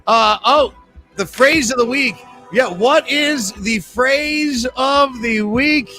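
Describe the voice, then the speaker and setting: High bright-voiced male trivia host. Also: slow, faint music behind. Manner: energetic, joking, rambling ad reads. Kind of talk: live online trivia hosting.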